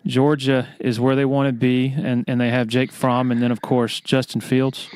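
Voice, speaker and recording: A man's voice: mid-range voice, flat delivery, moderate pace, a little mellow, smooth, no echo. A man hosting a sports podcast, asking questions in a casual interview style.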